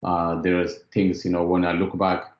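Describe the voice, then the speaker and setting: A very deep-voiced man from Ethiopia gives a testimonial interview, pausing often and leaning on fillers as he talks it through. His voice sounds roomy and a little dull, as if caught from across a room. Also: monotone. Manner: hesitant, many fillers and "you know". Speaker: former student, interviewee.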